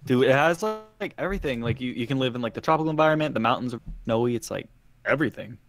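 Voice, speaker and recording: A man's voice: mid-range voice, lively intonation, fast talker, no room sound. A man co-hosting a casual podcast, laid-back, reading out viewer questions. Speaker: podcast co-host. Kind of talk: casual chat.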